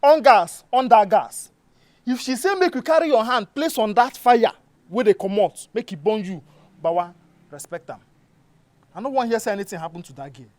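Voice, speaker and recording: A woman's voice: fairly low pitch, expressive, fast, pauses often, darkish tone, no echo, close to an everyday voice. A woman playing an exasperated, bossy friend with a Nigerian accent, lecturing and warning emphatically. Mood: stern warning. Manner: emphatic, scolding. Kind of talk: acted Nigerian Pidgin comedy dialogue.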